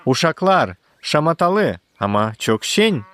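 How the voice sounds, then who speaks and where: A man's voice: fairly high pitch, sing-song, unhurried, fairly bright tone. A man playing a kindly grown-up cartoon mentor who speaks reassuringly to youngsters. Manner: reassuring, addressing children. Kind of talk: animated cartoon voice acting.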